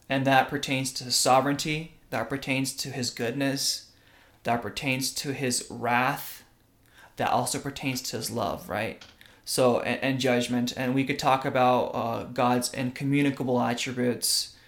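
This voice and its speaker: A man's voice: mid-range voice, fairly even intonation, unhurried, fairly bright tone. Young male podcast co-host, earnest, keeps asking "right?", born in Russia. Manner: earnest, tag questions like "right?". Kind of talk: casual religious podcast talk.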